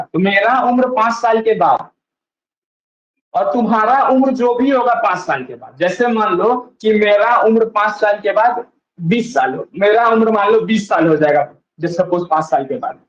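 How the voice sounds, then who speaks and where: A man's voice: high-pitched, roomy sound, dull audio. A male maths teacher explaining a lesson step by step, asking questions and answering them himself and repeating key points. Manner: asks and answers his own questions, repeats steps.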